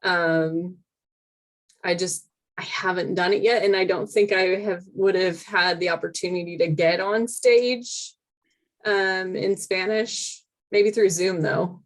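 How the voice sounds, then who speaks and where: A woman talking in a relaxed interview, taking her time with each thought. Her voice sits a bit low, and she hesitates with fillers and restarts. She laughs easily between points. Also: slow. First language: English. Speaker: podcast guest, teacher and comedian. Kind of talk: casual interview chat.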